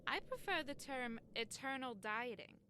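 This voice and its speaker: A woman's voice: high voice, lively intonation, moderate pace, pushed and bright, slight background noise. A woman playing a bubbly, vain floating soul in an audio comedy drama, chatty, sassy and melodramatic.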